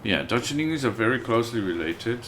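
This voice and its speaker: A man's voice: medium pitch, fast, slight background noise. A man streaming a video game, casually thinking aloud and chatting with his viewers as he plays. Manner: relaxed, thinks aloud and chats with viewers while playing. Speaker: livestreamer playing a video game. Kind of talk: casual let's-play commentary.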